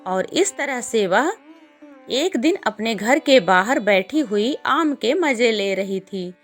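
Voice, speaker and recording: A woman's voice: on the high side, animated, takes it slowly, faint music behind. A woman narrating a scripted children's story as a storyteller.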